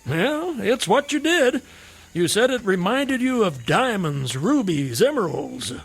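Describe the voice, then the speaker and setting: An actor playing a garrulous, whimsical, hard-drinking drifter in a radio drama, with wry, theatrical, wordy phrasing. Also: male voice, high-pitched, very animated, a little slow, slightly rough, exaggerated voice. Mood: teasing, curious.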